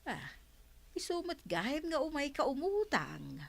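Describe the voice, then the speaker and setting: A woman's voice: sits high, huge pitch swings, a little slow, creaky, no echo, full character voice. A woman with an Ilocano accent playing a gossipy village neighbor in a comedy radio drama. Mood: chatty.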